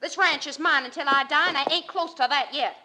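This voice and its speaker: A woman's voice: high voice, fairly quick. A woman playing a stubborn older ranch matriarch in a radio drama, blunt and bossy, with a rural Western American accent. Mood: angry, commanding. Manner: blunt, forceful, folksy. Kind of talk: 1940s-50s radio western acting. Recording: noisy vintage radio recording with clicks.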